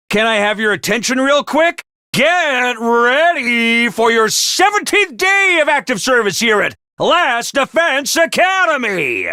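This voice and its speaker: A man voicing a brisk, ruthless academy commander in a video game, barking orders and announcements. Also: extremely high pitch, sharp tone, clear, resonant tone, dry recording, studio quality, heavily acted. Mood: announcing. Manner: clipped orders and announcements, drill-sergeant bark. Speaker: voice actor in a game.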